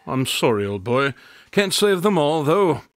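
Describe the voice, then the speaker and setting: A man playing a coolly logical, flippant gentleman detective in an audio drama, with a wry, wordy, formal manner. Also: on the high side, big pitch swings, a little slow. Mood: flippant, unruffled.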